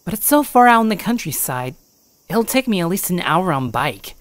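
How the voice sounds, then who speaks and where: Woman as a bored, low-voiced teenage boy. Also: big pitch swings, moderate pace, no room sound. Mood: bored, whiny. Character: bored, whiny fourteen-year-old small-town boy. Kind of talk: scripted audio drama acting.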